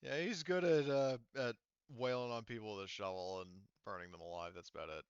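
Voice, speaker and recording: A man's voice: mid-range voice, a little fast, clean tone, no echo, highs cut off. A man acting as game master, talking casually through tabletop rules and game details with his players.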